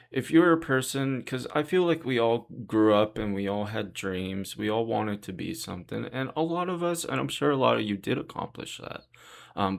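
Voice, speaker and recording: A young man with a deep voice talking earnestly and reassuringly in a rambling vlog monologue. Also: gravelly, a bit bright. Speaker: vlogger. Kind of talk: casual monologue to camera.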